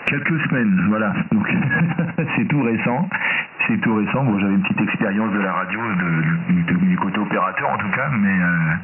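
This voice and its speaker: A man's voice: fairly low pitch, moderate pace, music in the background. A man from France with a metropolitan French accent, chatting casually as an amateur radio operator. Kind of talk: casual ham radio chat with spelled call signs. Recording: noisy, narrow-band, distant shortwave radio. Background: radio static and clicks.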